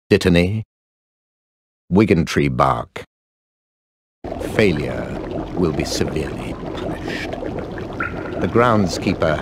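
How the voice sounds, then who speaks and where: A cold, contemptuous English potions master for a fantasy game. His voice is extremely low, and he speaks with deliberate, lofty disdain. Loud noise sits behind him. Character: cold, contemptuous middle-aged male wizard potions master. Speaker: potions teacher.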